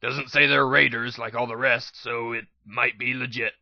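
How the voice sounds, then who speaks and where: A man's voice: mid-range voice, flat delivery, normal speed, no room sound, dull audio, natural voice. A man voicing a suspicious male pony scavenger in a matter-of-fact way for a dramatic reading. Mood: calm, wary.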